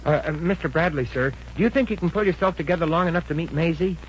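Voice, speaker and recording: An actor playing an earnest, excitable young fiancé in a 1950s radio comedy, with a boyish manner. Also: male voice, fairly high pitch, fast talker, close to an everyday voice. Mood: eager. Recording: dull, noisy old radio recording.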